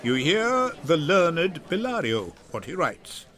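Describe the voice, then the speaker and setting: Older man playing stately, measured duke in court. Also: on the high side, sing-song, fairly bright tone, exaggerated voice. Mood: formal, gracious. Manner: formal, authoritative, measured. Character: stately male duke presiding over a court. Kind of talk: dramatic Shakespeare reading.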